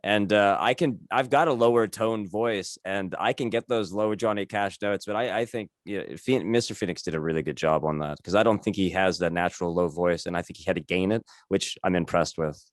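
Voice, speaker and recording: Guy in his 30s hosting a podcast, kinda low voice, rambles, dry close sound. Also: brisk, few pauses, no echo. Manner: rambling, self-correcting, chuckles while talking. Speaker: podcast host. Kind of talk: casual interview chat.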